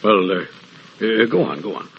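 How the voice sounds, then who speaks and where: An actor playing an astonished attorney hesitantly questioning a client in a 1940s radio drama. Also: male voice, lowish voice, expressive, normal speed, a little hiss or hum. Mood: incredulous. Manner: incredulous, hesitant. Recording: muffled vintage radio with faint music.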